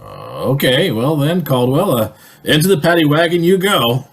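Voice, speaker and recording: A man acting a gruff, bossy police sergeant in an old-time radio comedy, blunt and colloquial. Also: fairly high pitch, wildly swooping pitch, moderate pace, exaggerated voice. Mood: gruff, wary.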